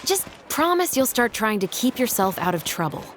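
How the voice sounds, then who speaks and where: A woman playing a level-headed police captain in a video game, speaking with dry, measured composure. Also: on the high side, big pitch swings. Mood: wary. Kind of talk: video game character dialogue. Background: music.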